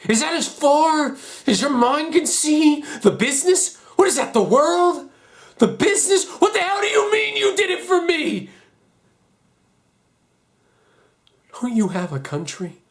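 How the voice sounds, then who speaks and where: A man playing an anguished, idealistic young American war veteran, guilt-ridden and outraged, in an intense, halting dramatic stage monologue. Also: fairly high pitch, animated. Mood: outraged, pleading. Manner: intense, halting, emphatic, emotional.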